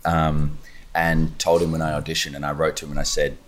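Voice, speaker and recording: A man with a very low voice tells a story in an eager, casual way. He is an actor from Australia, and he rattles off film credits in quick lists. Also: monotone. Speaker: actor guest. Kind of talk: casual chat.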